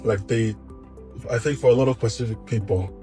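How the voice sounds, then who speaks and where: A man with a thick Tongan accent speaks in a relaxed, reflective way, pausing and restarting as he recalls things. He is middle-aged and sounds like a guest in an easygoing interview. Faint music plays quietly behind him. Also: mid-range voice. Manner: relaxed, reflective, some hesitations. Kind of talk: casual conversational interview.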